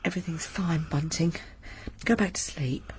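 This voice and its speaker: A woman's voice: sits low, moderate pace, a little mellow, a little hiss or hum, close to an everyday voice. An actress playing a secretive, anxious middle-aged landlady who talks in a clipped, tense way, in a period radio drama. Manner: clipped and tense, hiding her fear.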